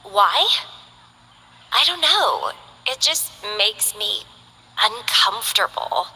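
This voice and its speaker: Bored, teasing young mercenary for a game; husky, very bright, slow drawl, light long reverb. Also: female voice, on the high side, big pitch swings, exaggerated voice. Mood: languid. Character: languid, bored young woman mercenary who loves plants. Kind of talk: game character voice lines.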